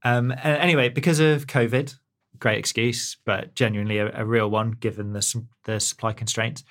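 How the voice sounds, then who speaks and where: A man's voice: medium pitch, steady tone, normal speed. Chatty man rambling about bike tech. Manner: rambling, self-deprecating, many fillers. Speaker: technical editor, co-host. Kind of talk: casual technical chat.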